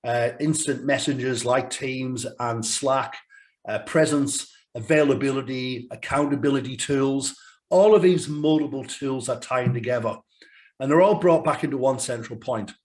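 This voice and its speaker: A man, croaky from a cold, presenting a business webinar over a video call. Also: medium pitch, moderate pace, a little mellow. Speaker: sales director presenting a webinar.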